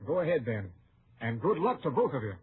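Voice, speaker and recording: A man's voice: medium pitch, big pitch swings, a little fast, over music, lightly acted. An actor playing a desperate, self-justifying townsman in an old American radio western, with an earnest, dramatic delivery. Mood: commanding. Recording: muffled, narrow-band old radio recording.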